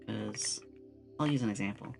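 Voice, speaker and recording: Creaky-voiced streamer chatting over loud music. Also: medium pitch, moderate pace. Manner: rambling storyteller, acts out short quotes. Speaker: streamer, VTuber host. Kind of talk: casual chat with stream viewers; relaxed ASMR stream.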